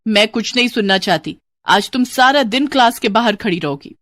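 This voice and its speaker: A woman's voice: fairly high pitch, lively intonation, moderate pace, a bit bright, dry recording. A woman voicing a strict female schoolteacher, stern, curt and commanding, in a moral story.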